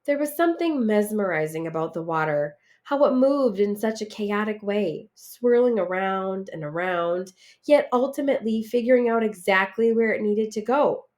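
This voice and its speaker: A woman reading a picture book aloud in an animated, expressive, dramatic way for children. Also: medium pitch, moderate pace. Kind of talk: animated storybook read-aloud.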